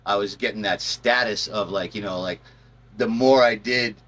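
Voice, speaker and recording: A man's voice: lowish voice, normal speed, fairly bright tone. A man telling personal stories in a casual, rambling way, acting out bits as he goes. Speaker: storyteller, channel host.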